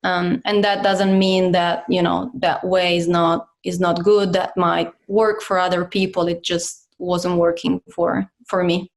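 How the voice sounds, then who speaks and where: A woman's voice: lowish voice, level tone, unhurried, few pauses. A woman of origin who grew up in Italy, speaking as a company head in a casual interview, with many fillers and restarts. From Croatian, grew up in Italy. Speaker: guest, company CEO.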